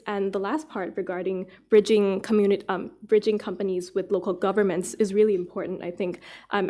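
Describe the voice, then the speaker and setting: A woman's voice: mid-range voice, mellow. A woman with a mid-range voice moderates a discussion. She speaks spontaneously, in the style of someone chairing a forum session.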